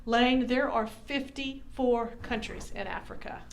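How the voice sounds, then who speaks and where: Woman, higher-pitched, children's puppet show host, teacherly, reverberant room. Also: fairly even intonation, measured pace. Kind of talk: scripted teaching skit for children. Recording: echoey room.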